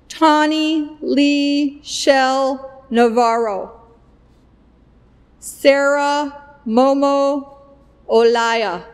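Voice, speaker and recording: A middle-aged woman at a podium microphone, reading graduates' names one by one in a slow, deliberate way. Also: high-pitched, big-room sound. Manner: deliberate, evenly paced name reading at a podium. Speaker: commencement name reader. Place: indoor stage at a podium, recorded for a virtual ceremony.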